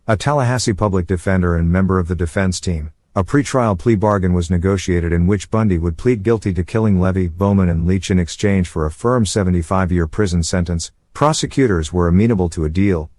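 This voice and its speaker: A man reading scripted true-crime documentary narration in a measured, formal manner. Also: low-pitched, darkish tone, dry recording.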